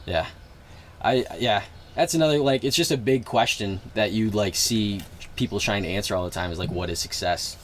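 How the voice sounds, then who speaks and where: A man's voice: on the low side, normal speed. A man hosting a casual podcast chat in an agreeable, colloquial way. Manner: agreeable, frequent short interjections. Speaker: podcast host. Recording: clear background noise.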